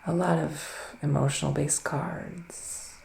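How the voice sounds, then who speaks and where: A man speaking softly and often whispering in an intimate ASMR roleplay as a gentle psychic witch, with deliberate, soothing pauses. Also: on the high side, little pitch movement, reverberant, slight background noise. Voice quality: soft, breathy, often dropping to a whisper. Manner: slow, deliberate, pausing, soothing delivery. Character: gentle male witch giving a psychic reading. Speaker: ASMR performer playing a psychic tarot reader.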